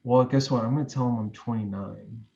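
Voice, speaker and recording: A man's voice: medium pitch, fairly even intonation, brisk, vocal fry, darkish tone, dull audio. Rambling, filler-heavy man in his forties. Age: 40s. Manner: rambling, many restarts and fillers. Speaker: guest. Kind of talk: reflective interview chat.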